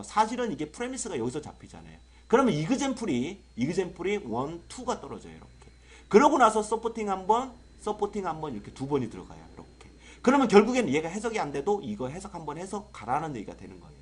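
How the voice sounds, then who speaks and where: A young adult male English tutor with a sore throat from a cold, lecturing in an excitable, exaggerated way full of rhetorical questions. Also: high voice, husky. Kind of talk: online exam-prep lecture.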